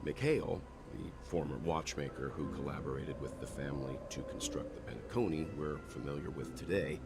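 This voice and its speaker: An actor playing a calm older male companion in a game, speaking in a measured, reassuring way. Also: rumbling low, gravelly. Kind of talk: game voice acting. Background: game music.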